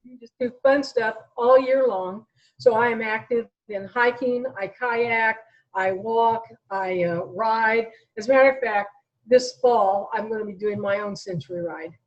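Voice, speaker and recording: A woman's voice: on the high side, unhurried, highs cut off. An American woman in her sixties talking conversationally as an interview guest, telling her own story. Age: 60s.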